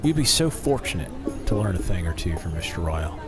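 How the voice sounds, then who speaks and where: An actor playing a folksy, self-satisfied circus boss in an audio drama, jovial and patronizing in manner. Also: male voice, low-pitched, very animated, music in the background. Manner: folksy, jovial, drawn-out showman phrasing.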